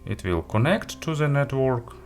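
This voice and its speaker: A man who speaks English as a second language, hosting a casual, unscripted product walkthrough in a halting way, correcting himself as he goes. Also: mid-range voice, animated, faint music behind. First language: not English. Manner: halting, hesitant delivery with self-corrections and listed items. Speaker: tech reviewer and host.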